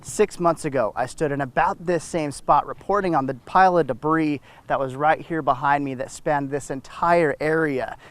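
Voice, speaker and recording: A man's voice: on the high side, moderate pace, few pauses. A man with an American accent giving scripted television news reports.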